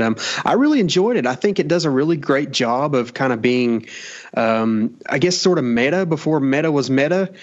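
A man co-hosting a podcast, thoughtfully sharing his view of a film. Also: mid-range voice. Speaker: podcast co-host. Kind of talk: casual film review chat. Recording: dull lo-fi audio.

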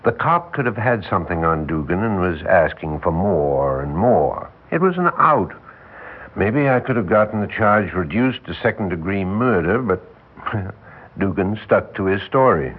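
An actor playing an older, world-weary defense lawyer, folksy and wry, with rambling, emphatic delivery in a 1960s radio drama. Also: male voice, deep voice, sing-song, normal speed, slightly rough, narrow, tinny audio, exaggerated voice. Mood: explaining, wry. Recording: band-limited vintage 1960s radio recording.

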